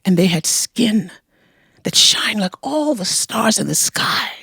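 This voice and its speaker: A woman storyteller narrating a folktale in a deliberate, expressive way, with dramatic pauses. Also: medium pitch, creaky, husky, no room sound, studio quality.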